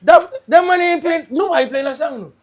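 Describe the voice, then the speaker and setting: A podcast host with a Caribbean accent, talking in a casual, excitable way and swearing freely. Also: sits high, a little fast, a crowd in the background. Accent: Caribbean English.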